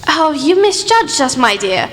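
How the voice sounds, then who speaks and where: A woman's voice: high voice, big pitch swings, unhurried, a bit bright, heavily acted. A woman acting in an amateur radio play as a proper, easily outraged lady doctor, exclaiming in an old-fashioned, blustering way. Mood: polite, flustered. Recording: echoey hall with clear background noise.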